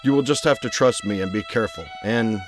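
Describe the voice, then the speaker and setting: A man voicing a brooding, haunted young gentleman heir in a motion comic, formal, solemn and deliberate. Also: lowish voice, over music, natural voice. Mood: guarded, resolute. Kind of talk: dramatic motion-comic acting and diary narration.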